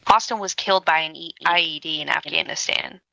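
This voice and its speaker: A woman's voice: low-pitched, steady tone, fairly quick, gravelly, pushed and bright, no echo, rough recording. A woman performing scripted audio drama narration in outtakes, stopping to correct herself.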